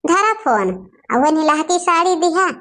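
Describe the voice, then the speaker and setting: A woman's voice: very high voice, animated, unhurried, pushed and bright, heavily acted. A woman voicing a hot-tempered village wife in a comic cartoon, scolding and complaining with an Awadhi accent. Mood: indignant. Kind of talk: homemade comic cartoon dialogue.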